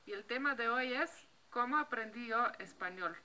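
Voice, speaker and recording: A woman's voice: on the high side, animated, normal speed, sharp tone. A woman in her thirties, a native Slovene speaker with a Slovenian accent, chatting casually to camera outdoors with a handheld mic, restarting words and laughing lightly. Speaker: vlogger. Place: outdoor patio by a block wall.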